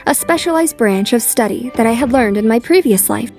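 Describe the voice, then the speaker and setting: A woman plays a determined young noblewoman in an anime. The voice sits a little high and sounds polite, composed and reflective. Soft music plays behind it. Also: animated, fairly quick. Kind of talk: anime dub acting with inner narration.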